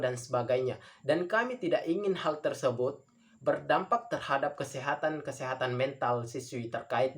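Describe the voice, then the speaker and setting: A man gives a formal debate speech as a student speaker, earnest and halting, often repeating phrases for emphasis. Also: mid-range voice.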